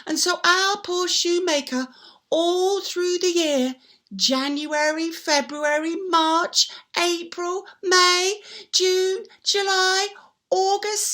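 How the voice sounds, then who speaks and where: A woman telling a children's story in a theatrical, interactive way, with playful repeated sound words and drawn-out emphasis. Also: very high-pitched, sharp tone. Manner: invites listeners to join in.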